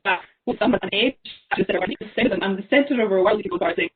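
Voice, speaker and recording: A woman's voice: medium pitch, normal speed. A young woman from the Isle of Lewis with a Scottish accent, giving an online seminar talk, thoughtful and full of ums. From Isle of Lewis, Scotland. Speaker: seminar speaker. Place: small room at home. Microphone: laptop webcam on a video call. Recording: tinny phone-like video call.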